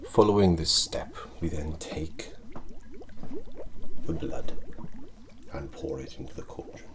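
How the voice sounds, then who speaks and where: A man with a Welsh accent playing a sinister, pompous Elizabethan alchemist in a hushed, deliberate ASMR roleplay. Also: extremely low pitch. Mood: measured, secretive. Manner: archaic, pompous, deliberate phrasing. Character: wretched, sinister Elizabethan male alchemist, grandly mystical. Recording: light steady electrical background noise. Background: liquid sounds and clicks.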